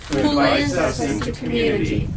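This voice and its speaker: A woman leading worship, reciting a creed in measured phrases. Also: sits high, steady tone, a loud crowd. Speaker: worship leader.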